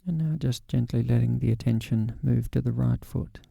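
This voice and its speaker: A man guiding a body scan meditation in a calm, gentle, unhurried way with long pauses. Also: mid-range voice, vocal fry, no echo, clean studio sound. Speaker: meditation guide.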